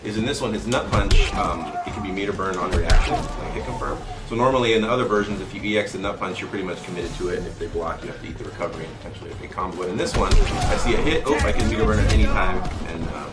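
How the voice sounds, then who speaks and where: A man's voice: sits low, racing, few pauses. A man giving casual, unscripted fighting-game commentary, explaining moves in heavy gaming jargon. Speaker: game demonstrator on a stream. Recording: distant mic under loud game music.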